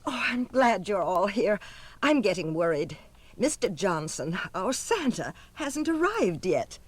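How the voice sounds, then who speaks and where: Kindly female orphanage keeper, fretful, expressive, closely recorded, old cartoon record style. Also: mid-range voice, unhurried, dry recording. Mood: worried. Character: kindly, anxious woman running an orphanage. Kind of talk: 1970s cartoon audio drama acting.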